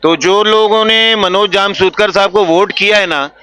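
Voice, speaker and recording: A male community interviewee. He explains his view diplomatically in a high, bright and very clear voice. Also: fairly even intonation, normal speed, dry recording. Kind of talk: measured interview commentary.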